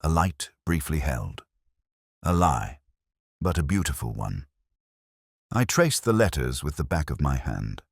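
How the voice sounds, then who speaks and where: A man playing a melancholy, reflective police inspector, narrating an audio drama in slow, measured, solemn literary prose with deliberate pauses. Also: extremely low pitch, sing-song, gravelly, slightly rough, no echo, clean studio sound, full character voice. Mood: solemn, hushed. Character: melancholy, reflective male police detective inspector telling his story.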